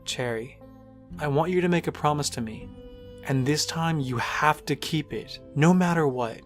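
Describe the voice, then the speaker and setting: A man voicing a caring young stallion who looks after his little sister, speaking gently and soothingly but firmly. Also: on the high side, moderate pace, faint music behind, pitched above the performer's own voice, natural voice. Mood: tender. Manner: gentle, soothing, quietly firm. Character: caring young stallion, protective big brother. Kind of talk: fanfic audiobook reading.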